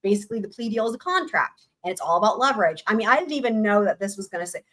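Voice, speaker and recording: A woman hosting a casual livestream, excited and rambling, her voice going hoarse. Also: medium pitch, racing. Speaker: livestream host.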